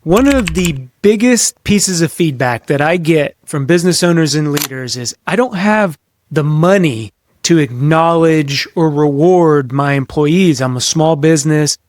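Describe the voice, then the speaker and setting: Higher-pitched man hosting a leadership talk. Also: unhurried, no room sound. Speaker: podcast host, leadership coach. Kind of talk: practical business advice talk to camera or mic.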